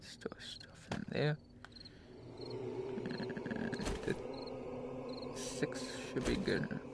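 A man giving casual live gameplay commentary as a streamer, halting and talking in short phrases, often mumbling to himself. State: frequent coughing. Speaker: game streamer. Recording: noisy home stream microphone.

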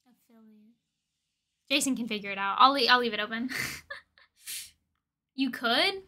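High, bright young woman livestreamer. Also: normal speed. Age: young adult. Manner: playful, slangy, expressive. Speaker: livestream host. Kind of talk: casual livestream chat with viewers. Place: indoor room with desk and shelves of collectibles.

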